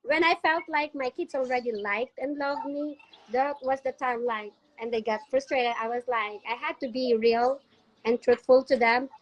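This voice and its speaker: A woman from the Philippines with a Filipino accent, a native Filipino speaker, telling stories casually as an interview guest and acting out dialogue in an animated manner. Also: sits high, moderate pace, low-quality recording. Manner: animated, acts out quoted dialogue. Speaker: interview guest, special education teacher.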